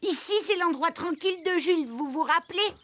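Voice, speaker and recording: A woman voicing a small, considerate animal friend in a children's cartoon, gently hushing and reminding. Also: very high-pitched, brisk. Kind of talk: French children's cartoon dub.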